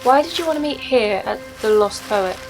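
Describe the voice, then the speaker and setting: An actress in a naturalistic radio play, playing an ambitious but self-doubting performance poet with a hesitant, plain-spoken, easily defensive manner. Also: female voice, fairly high pitch, animated, normal speed, soft background music. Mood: doubtful, wry. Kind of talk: naturalistic radio drama acting.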